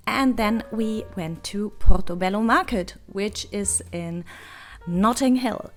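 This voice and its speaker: Young woman doing travel vlog voiceover, hoarse and raspy w vocal fry, rambly and chatty, soft music under it. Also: mid-range voice. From Germany. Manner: chatty, rambling, self-deprecating. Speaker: travel vlogger. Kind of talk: voice-over narration.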